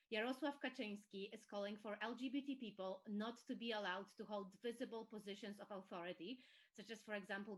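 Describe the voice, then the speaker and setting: Polish woman in her 30s doing a prepared webinar talk, mid pitch, measured and serious. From Poland. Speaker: panel speaker, charity chief executive. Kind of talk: prepared political talk, read from notes. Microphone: video call.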